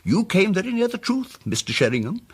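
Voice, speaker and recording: A mild, apologetic amateur detective in a radio mystery, his fairly high voice rising and falling dramatically. He is hesitant, fussy and politely precise, with a husky edge. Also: big pitch swings, fairly quick, full character voice. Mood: flustered, earnest.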